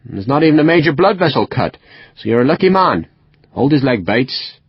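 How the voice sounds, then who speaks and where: A man's voice: medium pitch, sing-song, normal speed, husky, no echo, pitched above the performer's own voice. A man voicing a brusque, cheerful army surgeon with a West Country accent, in a dull, dry recording. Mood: hearty. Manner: hearty, brusque.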